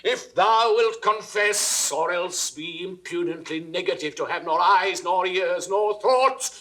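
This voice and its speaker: Jealous suspicious king, British accent, forceful ringing tone, slightly high voice, theatrical Shakespearean audio drama. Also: unhurried. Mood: raging jealousy. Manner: theatrical, crisply declaimed, dropping into whispers. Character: jealous, suspicious king brooding over his wife's imagined betrayal. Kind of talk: classical Shakespearean stage acting, 1960s audio recording.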